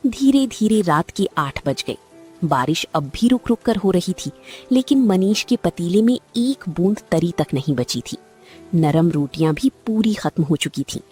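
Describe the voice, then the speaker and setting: A woman narrating a cartoon story in a steady storytelling manner. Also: fairly low pitch, a little slow, slightly rough, faint music behind.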